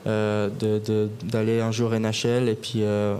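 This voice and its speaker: Young man answering in a steady flat voice. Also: on the low side, a little hiss or hum. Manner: even, unhurried answers with frequent pauses to think. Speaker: guest hockey player. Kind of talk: interview answers on a live podcast. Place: bar, live audience event.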